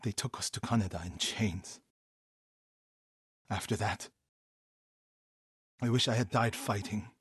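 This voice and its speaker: A man playing a devout, grieving warrior monk in a video game, earnest and intense, with a husky voice. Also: lowish voice, unhurried, pauses often, dry recording. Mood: grieving, haunted. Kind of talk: dramatic video game acting.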